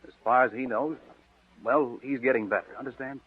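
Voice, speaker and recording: An actor playing a scheming young nephew who talks earnestly and stammers in a 1940s radio drama. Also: male voice, mid-range voice, fairly even intonation, brisk, breathy edge, no room sound, close to an everyday voice. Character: scheming young male nephew feigning devotion. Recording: tinny old radio broadcast.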